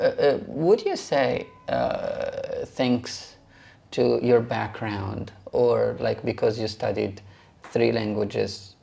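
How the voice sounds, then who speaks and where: Gravelly-voiced man asking halting questions. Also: mid-range voice. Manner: halting, repeats words, laughs warmly. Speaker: Arabic teacher and interviewer. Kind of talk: casual interview questions.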